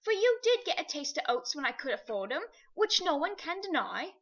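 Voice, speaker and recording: Woman voicing a rough-spoken old cab driver. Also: high-pitched, sing-song, brisk, a bit bright, full character voice. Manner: coarse working-class dialect, earnest. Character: rough working-class London cabby, middle-aged man. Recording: dull lo-fi recording.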